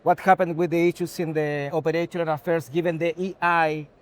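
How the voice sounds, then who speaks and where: Chilean guy, spanish accent, kinda high voice, exec answering interview questions in halting second-language english. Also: little pitch movement. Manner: halting, non-native English phrasing. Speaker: technology executive being interviewed.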